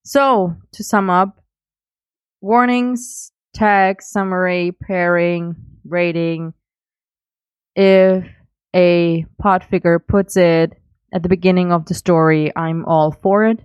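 A woman's voice: on the low side, steady tone, slow pace, darkish tone, clean tone, no room sound. A woman giving casual spoken comments as a podcast contributor.

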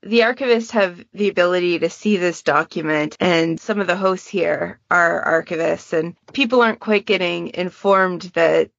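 A woman's voice: fairly low pitch, moderate pace, creaky, hardly any pauses, no room sound, highs cut off. A woman hosting a podcast in a casual, chatty style. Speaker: podcast host.

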